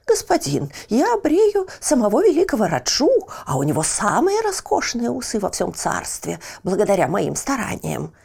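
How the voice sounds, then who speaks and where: A woman's voice: medium pitch, huge pitch swings, normal speed, gravelly, few pauses, darkish tone, slightly rough, exaggerated voice. A woman voicing a sly, boastful male barber and informer in a children's fairy tale, wheedling and blustering. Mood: oily, boastful. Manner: wheedling with customers, blustering when crossed.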